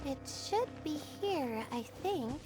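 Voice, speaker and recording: A woman playing an earnest, sleepy young female student astrologer in a video game, with a hesitant, soft way of talking. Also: sits high, lively intonation, slow pace, over music. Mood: calm, self-assured. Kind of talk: video game character acting.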